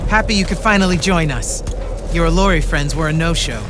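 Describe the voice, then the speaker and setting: Game commander played by a man: curt, impatient, resolute, high for a male, music behind. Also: brisk, natural voice. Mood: impatient, stern. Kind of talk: video game cinematic acting.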